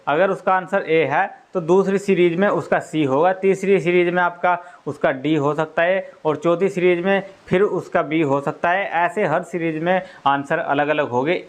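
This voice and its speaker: Higher-pitched man explaining. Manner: repetitive, explanatory, often checks with "okay". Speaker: exam-preparation coach. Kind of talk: informal explainer of exam news.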